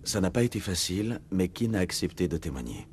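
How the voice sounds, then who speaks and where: An actor playing a steady, direct military commander, the hero of a video game, with a composed manner. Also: male voice, low voice, brisk, slight background noise. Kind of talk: video game dub dialogue.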